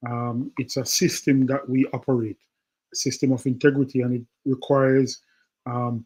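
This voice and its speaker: A man co-hosting a livestream, explaining steps in a calm, steady way. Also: medium pitch, husky. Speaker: co-host.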